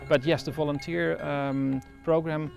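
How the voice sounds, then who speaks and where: Gravelly man speaking over music. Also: fairly high pitch, little pitch movement. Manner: thoughtful, frequent pauses and restarts. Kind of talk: interview.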